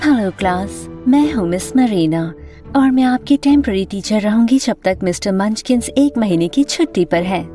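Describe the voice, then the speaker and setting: Mysterious young female teacher, cartoon woman performer; lower, composed, smooth and coaxing, faint music behind. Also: expressive, normal speed, close to an everyday voice. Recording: music bed under the voice.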